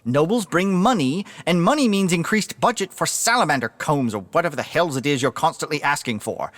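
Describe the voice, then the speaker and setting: An actor playing a pompous, officious museum director in an audio drama, with a haughty, fussy, theatrical manner. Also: male voice, a little high, animated, fairly quick, a bit bright, no echo, studio quality. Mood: stern, indignant. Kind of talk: audio drama character acting.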